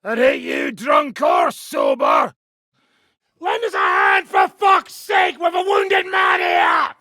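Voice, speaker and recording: An actor playing a foul-mouthed, swaggering mercenary gang leader in a video game, brash and sardonic, with a raspy, gravelly voice. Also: male voice, very high voice, huge pitch swings, slow, bright, forceful tone, no echo, shouting, studio quality, a faint crowd, full character voice. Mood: furious shouting. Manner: brash, sardonic, commanding, swearing freely. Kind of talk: game dialogue, coarse medieval banter and battle shouts.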